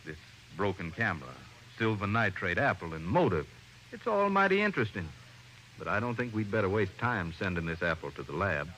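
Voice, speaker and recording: Skeptical country sheriff, folksy drawl, lively swooping pitch, bright tone, vintage radio crime show with hiss. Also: male voice, fairly quick, heavily acted, low-quality recording. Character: folksy, skeptical, unhurried small-town male sheriff. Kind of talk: old-time radio drama acting. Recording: hissy old-time radio recording.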